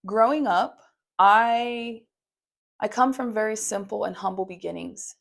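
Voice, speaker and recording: A woman's voice: medium pitch, measured pace, vocal fry. A young woman from Maui hosting her own podcast, talking in an earnest, affirming way and often adding "you know". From Maui, Hawaii. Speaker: podcast host and therapist. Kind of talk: heartfelt solo podcast monologue.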